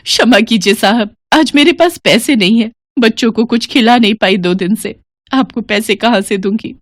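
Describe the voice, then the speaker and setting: A woman's voice: fairly high pitch, moderate pace, no echo, close to an everyday voice. A woman voicing a weary poor widowed mother in a moral story, plaintive and beseeching. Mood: distressed, pleading. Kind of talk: animated Hindi moral story acting.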